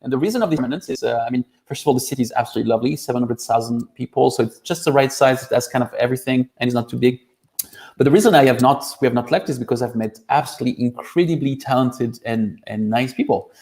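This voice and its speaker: Male interview guest, 40s, French accent, mid-range voice, telling personal anecdotes in an expressive, conversational way. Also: mid-range voice, quick pace. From France. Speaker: interview guest, boardgame publisher. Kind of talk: casual chat, personal anecdotes.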